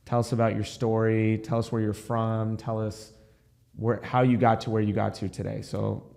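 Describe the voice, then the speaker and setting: Low-voiced chatty male host. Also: little pitch movement, moderate pace, creaky, darkish tone. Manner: chatty, enthusiastic, rambling introductions. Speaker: rabbi and podcast host. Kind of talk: casual interview chat. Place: recording studio.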